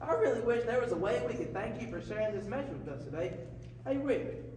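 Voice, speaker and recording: Echoey hall. Man as hearty Southern comedy puppet host, very high voice, folksy talk-show banter. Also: sing-song, fast talker, a little mellow. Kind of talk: scripted comedy talk show skit on stage. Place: large echoey hall, live performance. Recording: echoey hall from far off, crowd, noise.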